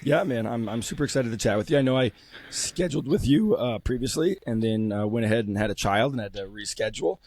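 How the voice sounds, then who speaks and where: A man hosting a podcast interview while losing his voice from a cold, chatting in a friendly, halting way with many fillers. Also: mid-range voice, expressive, a little mellow. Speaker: podcast host. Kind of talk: casual interview chat.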